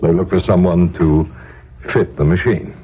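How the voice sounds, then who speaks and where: A coolly courteous employment agent who will not bend, in a 1970s radio play. His voice is on the low side, formal, measured and impersonal. The sound is narrow and tinny. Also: steady tone, breathy edge. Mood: coolly regretful. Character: coolly polite, unyielding male employment agent. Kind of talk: 1970s American radio drama acting.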